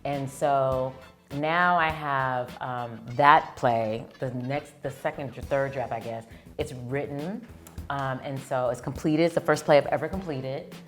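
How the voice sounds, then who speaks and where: A woman actress and producer, chatting as an interview guest, telling stories with lively acted-out quotes. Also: a bass voice, a little slow, soft background music. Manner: animated storyteller who acts out quoted lines. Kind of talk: casual interview chat.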